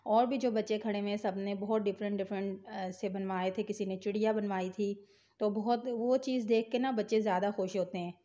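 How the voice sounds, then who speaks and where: A woman hosting her own vlog, talking in a chatty, unhurried way with small fillers. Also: mid-range voice, fairly even intonation, dark tone. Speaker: vlog host. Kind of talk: casual vlog voice-over.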